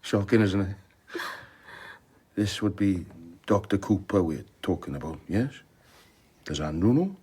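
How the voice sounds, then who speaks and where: A man's voice: low-pitched, lively intonation, unhurried, pauses often, warm tone, breathy edge, heavily acted. A man acting in a radio drama as a blunt, embittered socialist doctor with a curt, sardonic way of talking and some kindness underneath. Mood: gruff, gentle. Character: blunt, embittered socialist doctor, gruff but privately kind.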